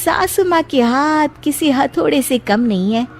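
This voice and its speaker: A woman acts a cheeky young daughter-in-law from a cartoon tale. Her voice is high and swings about excitedly, full of playful, scheming glee. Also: a little slow, soft background music. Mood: gleefully scheming. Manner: exclamatory, gleeful. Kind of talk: cartoon story acting.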